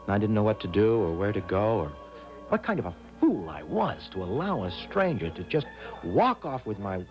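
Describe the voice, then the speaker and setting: A man's voice: on the low side, huge pitch swings, moderate pace. An actor playing a nervous, easily flustered young American traveler in a radio drama, stammering and fretting as he talks. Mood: shocked narration. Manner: stammering, hesitant, fretful. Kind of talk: theatrical radio drama acting with first-person narration. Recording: dull vintage radio recording with music.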